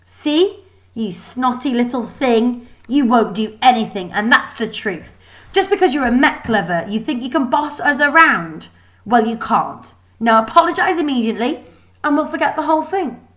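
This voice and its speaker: A woman as a spiteful schoolgirl, raised pitch, sneering, bossy, emphatic, children's storybook. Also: animated, moderate pace, fairly bright tone. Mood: bossy, taunting. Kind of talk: children's storybook read aloud.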